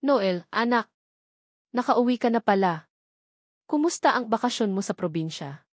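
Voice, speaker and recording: A woman's voice: on the high side, animated, normal speed, no room sound, studio quality. A woman playing a haughty, wealthy older mother in an audio drama, irritated and condescending toward her grown son. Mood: forced politeness.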